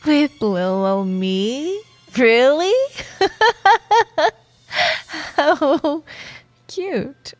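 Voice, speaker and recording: A woman acts out a bantering female office rival with a soft, admiring side, for a romantic audio roleplay. Her delivery is slow and teasing, full of expressive pauses. The voice is close and dry over faint music. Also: fairly high pitch, dry recording. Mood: teasing, flirty.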